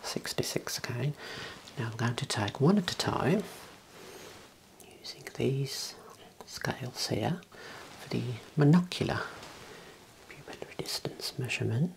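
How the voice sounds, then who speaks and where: A man in his thirties doing an ASMR roleplay as an optician, whispering softly with calm clinical instructions into a close clip-on mic. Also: medium pitch, creaky, poor audio. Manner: hushed, unhurried, reassuring clinical instructions. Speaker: ASMR performer role-playing an optician. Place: small indoor room. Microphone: clip-on wireless mic on lapel, close.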